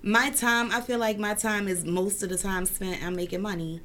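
A woman, chatting casually in a group, blunt, emphatic and quick to swear. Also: medium pitch, fairly even intonation, fairly bright tone. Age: 30s.

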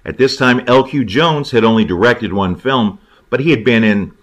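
A man giving casual spoken film commentary in a halting, conversational and opinionated way. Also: medium pitch, fairly bright tone. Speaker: writer, guest film commentator.

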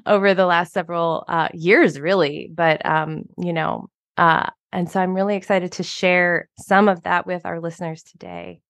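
Woman host, low and gravelly, dry close sound, halting delivery. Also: no room sound. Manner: warm, halting with many fillers. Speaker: podcast host. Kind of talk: podcast intro and interview.

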